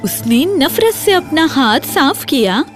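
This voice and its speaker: High, animated woman storyteller over soft music. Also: takes it slowly. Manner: expressive, dramatic storytelling. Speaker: storyteller, narrator. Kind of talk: scripted children's fairy-tale narration.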